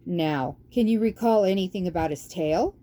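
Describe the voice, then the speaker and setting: A woman's voice: fairly low pitch, normal speed, warm tone, close to an everyday voice. Woman as a grave old mouse abbot. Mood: grave, probing. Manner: formal, probing. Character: kindly, grave old male mouse abbot questioning others.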